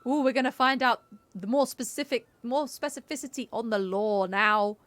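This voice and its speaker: A woman's voice: on the high side, normal speed, fairly bright tone, no room sound. A woman giving casual live-stream game commentary, chatty and playful as she muses aloud. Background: game music.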